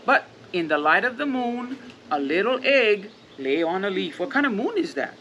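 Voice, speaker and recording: A woman's voice: medium pitch, very animated, normal speed. An older woman hosting children's storytime, reading aloud interactively, prompting with questions and counting out with emphasis. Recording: noisy action-camera sound.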